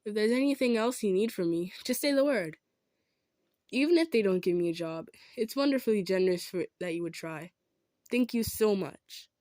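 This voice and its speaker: A woman's voice: mid-range voice, expressive, moderate pace, no echo, clean studio sound, natural voice. A woman voicing a kind, self-effacing female centaur in an improvised audio roleplay, speaking earnestly and a little hesitantly to the listener. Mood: grateful. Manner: earnest, hesitant, gentle insisting.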